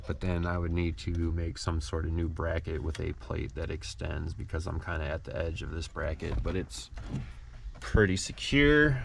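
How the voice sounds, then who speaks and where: A low-voiced man talks through a hands-on project in a casual, plainspoken way. He explains things step by step, with some noise behind him. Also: vocal fry. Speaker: DIY car vlog host. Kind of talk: casual project walkthrough with voice-over.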